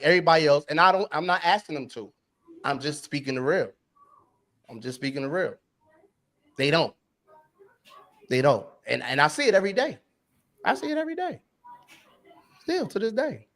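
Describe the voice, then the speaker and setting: A man from New York, a podcast co-host, talking bluntly in casual debate, full of slang and repeating himself. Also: high-pitched.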